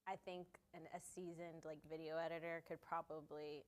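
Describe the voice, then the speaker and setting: Woman in her thirties, fairly low voice, a co-host who talks haltingly. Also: level tone. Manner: halting, restarts a lot, many fillers. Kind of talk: casual chat.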